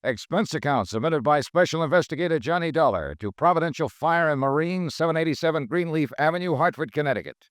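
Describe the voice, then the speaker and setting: A brisk, matter-of-fact insurance investigator, voiced by a man narrating his case in an old radio drama. His delivery moves up and down with plenty of life. The recording is dry and close. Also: on the high side, expressive, no room sound, lightly acted. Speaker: narrator and lead detective. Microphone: close studio mic, dry.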